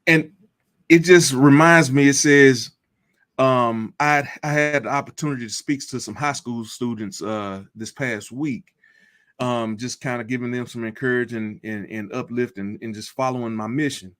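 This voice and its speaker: A man telling his own comeback story as a motivational speaker, earnest and measured, repeating key phrases. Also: mid-range voice, fairly even intonation, fairly bright tone. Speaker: guest speaker.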